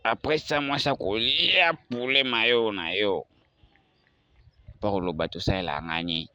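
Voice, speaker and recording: A man's voice: fairly low pitch, unhurried, bright, forceful tone, dry recording, highs cut off, heavily acted. A man with a Congolese accent playing an indignant complainer in a cartoon.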